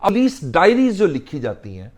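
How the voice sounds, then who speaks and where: A man explaining legal rules in a deliberate way, mixing in English legal phrases. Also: high-pitched, wildly swooping pitch, darkish tone. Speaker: legal instructor.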